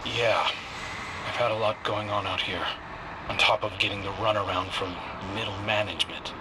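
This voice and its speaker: A man's voice: fairly low pitch, fairly even intonation, moderate pace, very noisy, lightly acted. A man playing a troubled, earnest young warrior in a video game, with an emotional, hesitant delivery. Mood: brooding. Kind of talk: dramatic video game dialogue. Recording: music under the voice.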